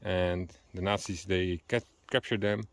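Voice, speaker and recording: A man's voice: low voice, steady tone, a little slow, gravelly. A man whose first language is Dutch, chatting playfully while walking in a forest and searching for English words. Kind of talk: casual chat. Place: outdoors in a forest.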